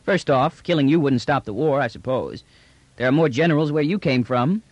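A man's voice: medium pitch, moderate pace, no echo, lo-fi sound, voice pushed up, lightly acted. A man voicing a brash, unruffled male space trader with a cheeky, slangy, colloquial manner in an audiobook. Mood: easygoing, frank. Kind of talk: audiobook character dialogue.

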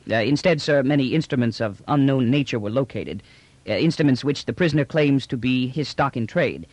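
A man's voice: medium pitch, lively intonation, fairly quick, a little mellow, no room sound, close to an everyday voice. A man voicing a stiff, apprehensive male lieutenant, formal and hesitant with many uhs, in an audiobook. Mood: stiff, nervous. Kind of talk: audiobook character dialogue.

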